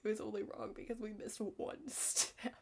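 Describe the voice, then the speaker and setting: Young woman, creaky hushed ASMR study chat. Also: medium pitch, steady tone, moderate pace. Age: young adult. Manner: rambling, hesitant, peppered with "like". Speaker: ASMR creator playing a study partner. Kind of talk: ASMR roleplay, casual rambling study chat.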